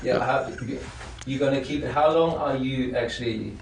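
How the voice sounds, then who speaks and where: A man's voice: mid-range voice, normal speed, gravelly. A male TV interviewer asks his questions hesitantly, tripping over his words. He sounds far from the mic, in an echoey space with audible background noise.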